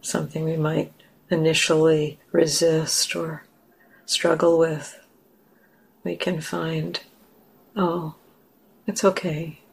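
A woman leading a guided meditation as a teacher, gentle and unhurried, leaving long pauses. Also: low-pitched, level tone, creaky, slightly rough, poor audio.

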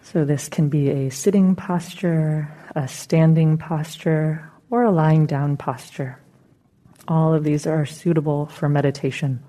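A woman meditation teacher leading a guided meditation in a soothing, unhurried, gently instructing manner. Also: deep voice, steady tone.

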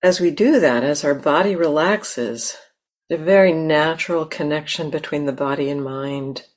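A woman leading a guided meditation in a gentle, unhurried manner with long pauses. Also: low voice. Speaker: meditation teacher.

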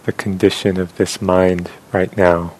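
A man leading a guided meditation as a teacher, speaking gently and with long pauses. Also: deep voice, flat delivery, a little hiss or hum, low-quality recording.

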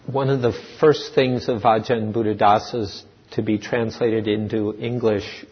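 A man gives a slow, reflective dharma talk in a meditation hall. His voice sits low and a little husky. The recording sounds dull and lo-fi, with a long reverb trailing off the walls. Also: level tone. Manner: slow, thoughtful, with restarts and pauses. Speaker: dharma teacher.

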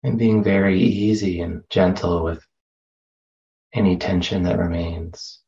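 Man, low pitch, very slow pace, gentle meditation teacher, long pauses, slightly muffled sound. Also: level tone. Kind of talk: guided meditation. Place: meditation center.

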